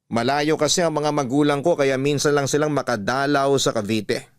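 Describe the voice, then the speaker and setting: A man with a Filipino accent calmly reading a listener's love story letter on the radio. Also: mid-range voice, no echo, studio quality. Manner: calm, steady storytelling.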